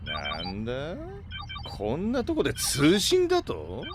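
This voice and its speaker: An actor playing a gruff, grumbling freighter captain in a Japanese audio drama, with rough, slangy, irritable delivery. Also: male voice, mid-range voice, wildly swooping pitch, slow pace, music in the background. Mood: irritated.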